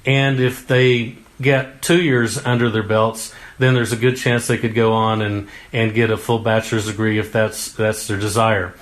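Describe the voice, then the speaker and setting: A man's voice: fairly low pitch, flat delivery, normal speed, hardly any pauses. Folksy man, a candidate answering questions. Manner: folksy, homespun phrases. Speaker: congressional candidate. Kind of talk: political interview answers.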